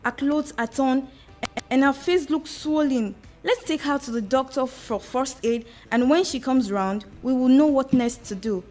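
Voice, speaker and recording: A high-pitched, commanding Nigerian madam, played by an actress in a radio drama. She is compassionate and emphatic, with formal phrasing. Faint music sits behind her. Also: measured pace, a little mellow. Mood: concerned. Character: commanding, compassionate well-off madam, quick to take charge.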